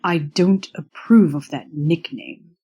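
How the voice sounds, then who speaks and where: An actor playing a stiff, earnest young male student in an audio drama, with a formal, precise way of speaking and a husky voice. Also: medium pitch, warm tone. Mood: stiff, reproving. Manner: formal, measured, precise wording without contractions. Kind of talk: audio drama acting of fan fiction.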